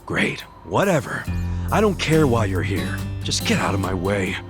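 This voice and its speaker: A man with an American accent playing a gruff, defensive, hot-headed yakuza ex-convict in a video game. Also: fairly low pitch, sing-song, moderate pace. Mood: irritated. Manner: brash, defensive, slangy. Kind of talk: English video game dub acting. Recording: music behind the dialogue.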